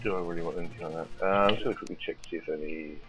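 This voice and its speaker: A low-pitched man talks through his gameplay while nursing a sore throat from an oncoming cold. He rambles and thinks aloud with plenty of ums and restarts, and faint game music plays behind him on a desk mic. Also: steady tone, racing, poor audio. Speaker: let's play host. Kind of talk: casual gameplay commentary.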